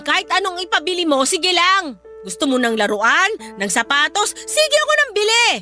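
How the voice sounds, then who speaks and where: A woman's voice: extremely high pitch, bright, forceful tone, heavily acted. An actress playing a domineering, resentful middle-aged Filipino mother who scolds and accuses in a radio drama. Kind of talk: emotional radio drama acting.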